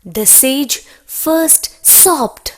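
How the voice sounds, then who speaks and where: A woman's voice: sits high, expressive, very slow. A woman narrating a scripted children's story.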